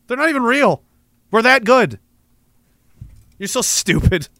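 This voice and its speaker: A Canadian man doing a loud, mocking, rambling comedic rant with lots of swearing. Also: very high voice, big pitch swings, measured pace, vocal fry.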